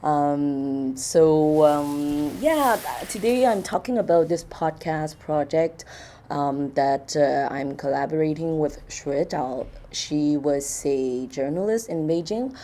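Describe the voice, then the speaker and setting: Low, mellow-voiced woman from Hong Kong. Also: takes it slowly. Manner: hesitant, many fillers and restarts. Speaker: event presenter. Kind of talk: short talk to an audience.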